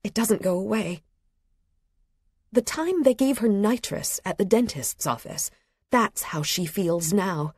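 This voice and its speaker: A woman reading literary fiction as an audiobook narrator, with a measured, expressive delivery and a slightly husky voice. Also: mid-range voice, no echo. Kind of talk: scripted literary fiction narration, present tense. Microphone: close studio microphone.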